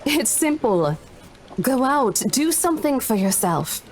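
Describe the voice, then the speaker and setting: A woman's voice: high-pitched, sing-song, measured pace, slight background noise. A woman playing a confident, teasing female estate agent and mentor in a visual novel, breezy and playful.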